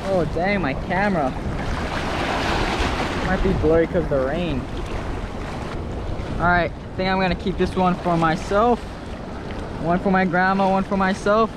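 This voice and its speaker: A fairly high-voiced young man from Hawaii narrates his fishing outdoors on a reef, chatting to viewers and getting excited when a fish bites. His voice is picked up by a head-mounted action camera, with loud crashing surf and wind behind him. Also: measured pace, pauses often. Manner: excitable, talks to viewers while reeling. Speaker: fishing vlogger. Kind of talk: casual narration while fishing. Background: crashing waves.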